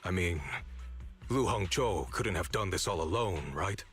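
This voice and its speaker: Sharp-voiced, bitter young avenger. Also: male voice, low voice, measured pace. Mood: scornful. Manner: sardonic, accusatory. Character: bitter young man, orphaned former disciple, accusing and resolute. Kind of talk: dramatic video game voice acting. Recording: studio voice over background music. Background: music.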